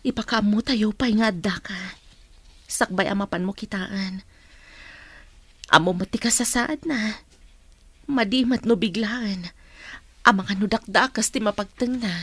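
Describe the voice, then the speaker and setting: A woman's voice: mid-range voice, unhurried, pauses often, fairly bright tone, slightly rough, no echo. An actress playing a caring, sorrowful mother of an ailing daughter in a melodramatic radio drama. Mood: gentle, sorrowful.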